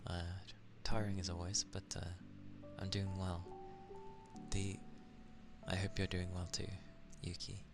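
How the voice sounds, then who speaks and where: A man from England with an English accent, chatting casually on an ASMR stream, soft-spoken and at times drifting into whispers. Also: sits low, little pitch movement, a little slow, vocal fry, over music.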